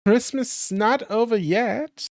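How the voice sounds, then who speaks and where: Hoarse streamer narrating a classic story; slow, dry, close mic. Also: mid-range voice, a little mellow, dry recording. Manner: slow careful reading with small slips. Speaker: streamer and reader. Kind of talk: reading a classic novel aloud on stream.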